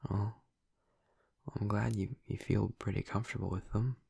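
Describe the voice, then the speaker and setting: Husky, low-voiced gentle vampire boyfriend, played by a man. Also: little pitch movement, no echo, full character voice. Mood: caring, attentive. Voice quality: husky, breathy, creaky. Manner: soft, halting, breathy, tentative. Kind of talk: intimate audio roleplay, speaking softly to the listener. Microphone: close, dry microphone.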